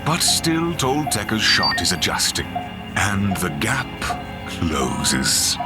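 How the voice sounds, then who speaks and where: A man with a very deep voice narrates a science fiction audio drama. His scripted delivery is theatrical and animated, building suspense with pauses over clearly audible music.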